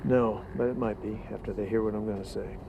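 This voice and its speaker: An actor playing a weary, wry small-town marshal in a sci-fi western, with a laconic folksy drawl. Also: male voice, lowish voice, fairly even intonation, fast talker, vocal fry, phone-quality sound, noisy background. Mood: firm, calm. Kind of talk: screen sci-fi western drama acting.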